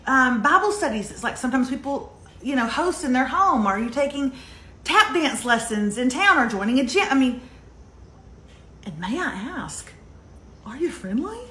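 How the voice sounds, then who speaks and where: Middle-aged American woman, bright forceful voice, emphatic comic pep-talk delivery, echoey kitchen. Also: high voice, animated, normal speed, a little hiss or hum. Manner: emphatic, dramatic, sarcastic humor. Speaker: online show host and relationship coach. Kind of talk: motivational storytelling to camera. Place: home kitchen, indoors. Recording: roomy, echoey kitchen sound.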